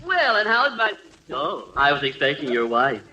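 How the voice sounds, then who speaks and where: A man's voice: fairly high pitch, huge pitch swings, moderate pace. A man acting a cheery milkman in a 1950s radio comedy. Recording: noisy old radio broadcast.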